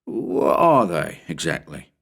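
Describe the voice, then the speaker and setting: A man's voice: low-pitched, very animated, takes it slowly, creaky, a bit bright, pitched below the performer's own voice, exaggerated voice. A man voicing a hesitant, curious visitor. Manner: hesitant, mutters and trails off.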